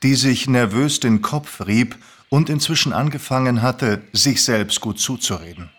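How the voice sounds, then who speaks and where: Man narrating a story, medium pitch. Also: unhurried, a bit bright, slightly rough. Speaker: narrator and reader.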